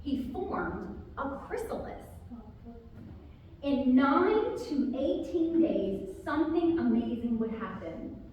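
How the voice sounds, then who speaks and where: A woman author speaking and reading a children's story aloud to a group, with expressive, drawn-out emphasis on key words. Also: sits high, lo-fi sound. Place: large reverberant room, live community gathering. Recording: very echoey hall, distant, faint noise.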